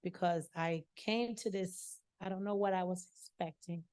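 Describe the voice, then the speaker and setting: A woman in the audience who speaks loudly and effusively as she asks questions and shares her feelings. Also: on the low side, fairly even intonation, normal speed. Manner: loud, emotional, effusive. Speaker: audience member asking questions.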